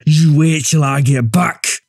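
A man's voice: a little high, steady tone, slow, husky, dry recording, clean studio sound, exaggerated voice. An actor playing an angry, bitter, accusing father in an audiobook drama. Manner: fierce, accusing.

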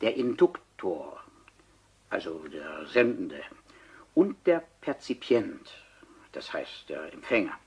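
Radio drama role: older male retired civil servant, husky, pedantic, eager to lecture in formal, exact phrases. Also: sits low, big pitch swings, takes it slowly, long pauses, a little mellow, full character voice. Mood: earnest, lecturing. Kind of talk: German radio crime drama dialogue.

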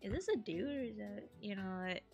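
A woman's voice: medium pitch, lively intonation, brisk, vocal fry, highs cut off, over music. A woman hosting a live dub session in casual chat.

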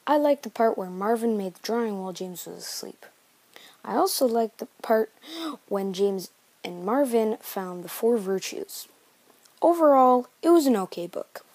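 Brief: female narrator for a homemade book-report video, higher voice, dry sound. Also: lively intonation, moderate pace, darkish tone, no echo, low-quality recording. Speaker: narrator of a novel study video.

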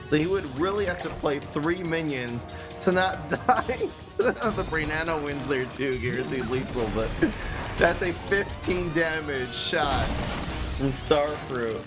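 A man's voice: a little high, lively intonation, slow. Streamer, man, 30s, loose self-mocking play-by-play, game music underneath. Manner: chatty, self-mocking, reads chat aloud. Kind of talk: casual gameplay commentary. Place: small room indoors.

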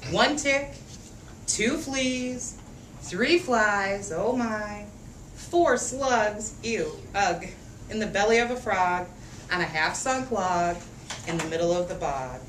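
A woman teacher gives an expressive, rhythmic storybook read-aloud for young children at an unhurried pace. She draws out phrases and engages the children directly. The sound is echoey and picked up at a distance from the mic. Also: medium pitch. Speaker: teacher reading a picture book to young children. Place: echoey room with a group of children. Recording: echoey room, distant mic, faint noise.

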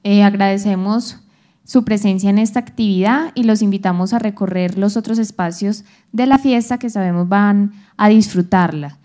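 Mellow young woman presenter. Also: mid-range voice, moderate pace. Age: young adult. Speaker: event presenter. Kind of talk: reading announcements. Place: auditorium stage. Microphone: handheld microphone.

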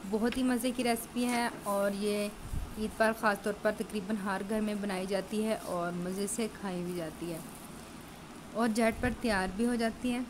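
A woman's voice: medium pitch, fairly even intonation, unhurried. Chatty home cook woman, noisy kitchen. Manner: chatty, explains steps while cooking. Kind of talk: cooking tutorial narration.

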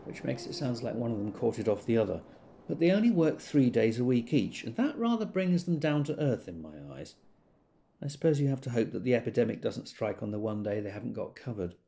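A man's voice: mid-range voice, sing-song, normal speed, vocal fry, dark tone, a little hiss or hum. An actor playing a rueful, self-doubting everyman who tells his story in a wry, confiding, fussily precise way, as in an audio drama. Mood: wry, irritated. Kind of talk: dramatic monologue, audiobook-style first-person storytelling.

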